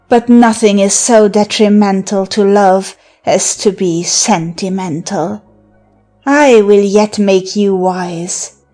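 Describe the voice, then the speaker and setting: A woman performs a teasing enchantress who taunts her lover in a theatrical verse drama. She speaks very slowly at an ordinary female pitch, with mockery and seduction in her delivery. Soft music sits behind the voice. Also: natural voice. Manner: theatrical, taunting, breaking into laughter and sighs. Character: teasing, mocking seductive woman of magical wiles. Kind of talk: verse drama audiobook acting.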